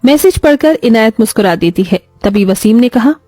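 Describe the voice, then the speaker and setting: A woman's voice: fairly high pitch, expressive, normal speed, mellow, dry recording, soft background music. A woman narrating a scripted moral story as a dramatic storyteller.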